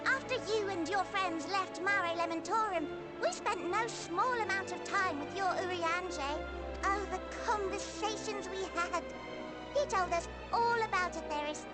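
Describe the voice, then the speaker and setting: Squeaky, eager little rabbit creature. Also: female voice. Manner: bubbly, chatty, wide-eyed enthusiasm. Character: small, eager, scholarly rabbit creature from the moon. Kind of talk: video game cutscene acting. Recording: music playing behind the voice.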